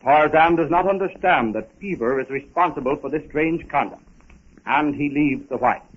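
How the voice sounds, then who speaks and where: A man's voice: on the high side, moderate pace, breathy edge. A man announcing a scripted adventure serial in a formal, dramatic 1930s radio style. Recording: muffled 1930s radio recording.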